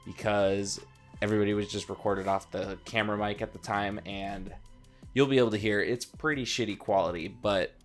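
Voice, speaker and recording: A man with a fairly low voice hosts a casual online video. He talks informally and rambles a little, with music playing under his voice. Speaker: video host. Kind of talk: casual vlog intro to camera.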